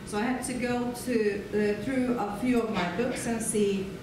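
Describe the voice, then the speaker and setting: A middle-aged woman from Finland with a Finnish accent, giving a relaxed, chatty conference lecture with frequent fillers. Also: on the high side, measured pace. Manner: relaxed, chatty, laughs often, many fillers. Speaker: guest lecturer. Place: large lecture hall. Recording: echoey hall, far from the mic, room noise.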